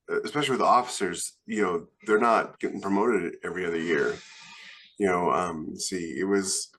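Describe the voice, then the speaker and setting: A man's voice: low voice, a bit bright. A man co-hosting a podcast, speaking casually in a panel discussion. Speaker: podcast co-host, former soldier.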